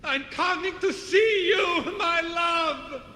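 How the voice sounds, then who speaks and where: A reckless, lovestruck fisherman in a fantasy game. His very high, piercing voice declaims slowly and grandly through echoing, noisy ambience. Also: bright, forceful tone, full character voice. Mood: ecstatic, defiant. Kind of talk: video game character dialogue. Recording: echoey, reverberant, noisy ambience.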